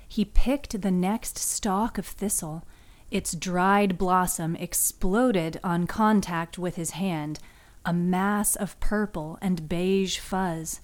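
A woman's voice: mid-range voice, a little mellow. A woman hosting and narrating a fiction podcast in a scripted storytelling style.

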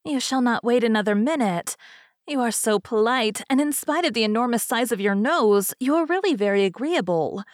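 Lady doing a gabby vain old fairy from a storybook, high pitched, rambles on and on, good natured but tactless. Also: expressive, normal speed, no echo, clean studio sound, close to an everyday voice. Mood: flattered. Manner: rambling, gushing, talkative.

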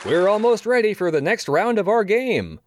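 Game show host: high, swooping voice, theatrical, smug menace under the showmanship, for audio drama. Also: male voice, very animated, moderate pace, a faint crowd, a little hiss or hum. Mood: gleeful showmanship.

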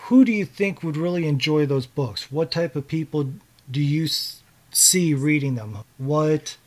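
A man's voice: on the high side, unhurried, darkish tone. A man hosting a podcast, interviewing a guest author in a casual, conversational way.

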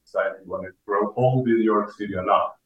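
Middle-aged man, Portuguese accent, deliberate conversational explainer, echoey room, slightly off-mic. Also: lowish voice, muffled recording. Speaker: cork industry representative, podcast guest. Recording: roomy, distant mic, faint music.

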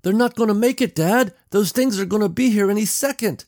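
Man voicing a frightened little girl, pitched high. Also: very animated, a little fast, darkish tone, no room sound, clean studio sound. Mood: tearful pleading. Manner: urgent, pleading. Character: frightened, pleading little girl, his daughter.

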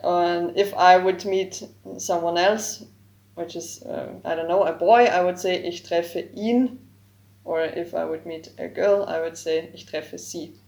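A woman whose first language is German speaks slowly and carefully in a somewhat low voice, spelling out word forms one by one. She is a guest in a podcast interview, and her voice sits in an echoey room. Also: fairly even intonation, darkish tone. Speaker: guest, language project co-creator. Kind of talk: explaining grammar in a podcast interview.

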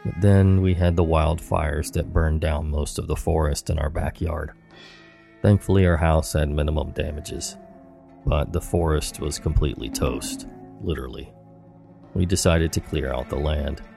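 A man narrating scary stories in a measured, low-key scripted delivery. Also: vocal fry, dark tone. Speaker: horror story narrator.

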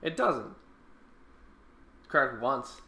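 A man's voice: mid-range voice, sing-song, extremely slow, pushed and bright. A man, bantering casually as a podcast co-host, blunt, teasing and full of swearing. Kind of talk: casual profane banter with a friend. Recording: echoey room with faint background noise.

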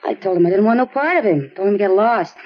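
A woman's voice: mid-range voice, expressive, fast, close to an everyday voice. A woman playing a flippant, scornful runaway teenage girl in 1950s American radio drama, talking in slang. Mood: dismissive. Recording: dull noisy old radio recording.

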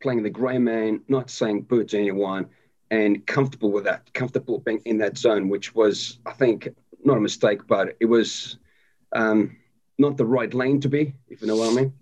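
Man, low-pitched, hesitant, many restarts, muffled lo-fi audio. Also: steady tone, moderate pace, a little mellow. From South Africa. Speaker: guest, army veteran. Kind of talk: casual interview conversation. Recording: dull lo-fi sound.

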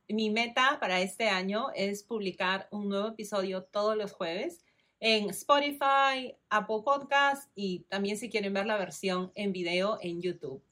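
A woman in her thirties with a Peruvian Spanish accent hosts her own podcast, talking straight to her listeners. Her voice sits at an ordinary middle pitch. She explains things clearly and in a friendly way, one step after another. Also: fairly bright tone. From Peru. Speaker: podcast host. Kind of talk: informative solo podcast.

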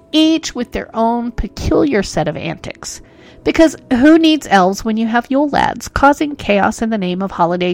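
A woman's voice: a little high, vocal fry. A woman hosting a podcast who narrates history in a playful, self-mocking way full of jokes. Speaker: podcast host.

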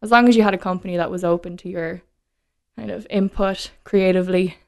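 A woman being interviewed as a guest, talking in a relaxed, friendly way and laughing easily. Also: fairly low pitch, brisk, creaky, smooth. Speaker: whiskey brand ambassador, guest. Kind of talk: casual interview chat.

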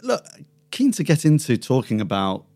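A man's voice: medium pitch, huge pitch swings, normal speed, a little mellow. A man hosting a podcast interview, friendly and conversational, sometimes restarting his phrases. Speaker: podcast host.

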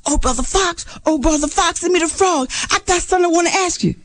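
A woman's voice: very high voice, quick pace, pitched above the performer's own voice. A woman voicing an earnest, eager young male frog in a dramatic, insistent way for a children's story. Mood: pleading, eager.

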